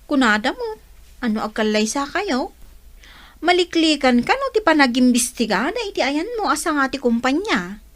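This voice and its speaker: Concerned female confidante, higher pitch, questioning, reproving, radio drama delivery. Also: big pitch swings, moderate pace, a bit bright. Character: concerned woman questioning a troubled man's choices.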